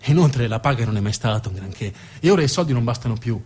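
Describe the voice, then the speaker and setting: A man's voice: mid-range voice, animated, fast talker, a little mellow, slightly rough. A man performing narrative theatre as a stage storyteller, with dramatic delivery and emphatic repetition.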